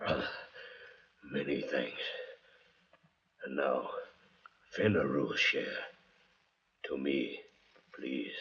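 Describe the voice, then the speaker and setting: An actor playing a menacing, sarcastic escaped convict crazed by thirst, with a slow, sneering, mock-polite manner, in old-time radio drama style. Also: male voice, very deep voice, steady tone, fairly bright tone, a little hiss or hum, heavily acted. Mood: mock-polite menace. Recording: muffled vintage radio recording.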